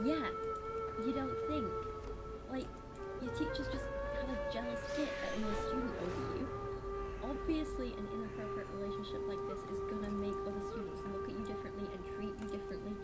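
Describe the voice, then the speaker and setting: A woman giving casual, opinionated book commentary in a conversational, exasperated way, now and then reading aloud. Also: mid-range voice. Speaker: book reviewer. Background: loud video game music and sounds.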